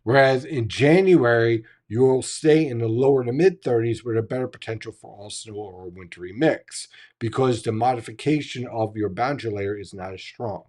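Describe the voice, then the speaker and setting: A man who hosts a live weather chat, teaching how storms build and answering viewer questions, his voice going hoarse. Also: medium pitch, moderate pace, hardly any pauses, darkish tone. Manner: explanatory, teacherly, asks "right?" often. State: losing his voice. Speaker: meteorologist hosting a live chat. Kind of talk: live-stream weather explanation and Q&A.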